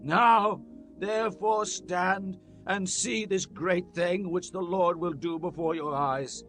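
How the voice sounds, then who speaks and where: A man acts out a stern old prophet in a dramatized scripture reading. The voice is high and forceful, declaiming solemnly and slowly to his people. Soft music plays underneath. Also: steady tone. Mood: stern admonishing. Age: older. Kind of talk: dramatized King James Bible reading.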